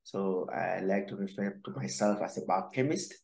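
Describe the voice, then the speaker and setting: Hesitant man from Cameroon, low voice. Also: gravelly, a little mellow, roomy sound. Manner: halting, many fillers and restarts. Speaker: guest scientist. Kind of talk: casual interview answers.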